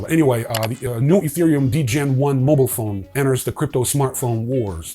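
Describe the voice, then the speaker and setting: A man's voice: medium pitch, darkish tone. A man hosting a news rundown in a casual, opinionated way with wry asides. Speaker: host of a crypto news show.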